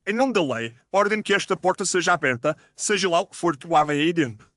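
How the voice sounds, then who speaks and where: A man's voice: sits high, lively intonation, a little fast, a bit bright, dry recording, clean studio sound. A man playing a formal, firm Victorian police inspector with an official, declamatory manner in an audio story. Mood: commanding.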